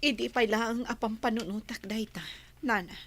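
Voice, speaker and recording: A woman playing a gentle, worried young lady in an Ilocano radio drama, soft and earnest. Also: fairly high pitch, normal speed.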